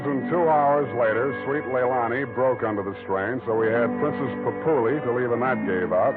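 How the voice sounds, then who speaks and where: A lowish, muffled voice: a man acting a cool, wry private eye in an old radio drama. He delivers sardonic, hard-boiled lines deadpan, with music behind him on the vintage recording. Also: lightly acted. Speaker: narrator and lead. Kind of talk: 1940s radio acting with scripted narration.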